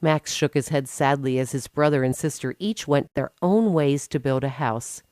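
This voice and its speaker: A woman's voice: rumbling low, moderate pace, darkish tone, dry recording, studio quality. A woman narrating a scripted children's bedtime story as a storyteller.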